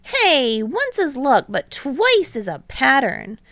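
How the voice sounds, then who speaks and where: Woman voicing an eager, high-pitched teenage boy. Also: takes it slowly, no room sound, exaggerated voice. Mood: excited, protesting. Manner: energetic, playful, protesting. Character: eager, bright, teasing teenage boy volleyball player. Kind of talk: audiobook character voice in fan fiction reading.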